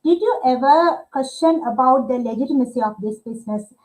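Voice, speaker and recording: A woman from Sri Lanka in her thirties, hosting a live online interview and pausing often with filler sounds. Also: high-pitched, expressive, a little mellow, low-quality recording. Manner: hesitant, many filler uhs. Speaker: livestream host.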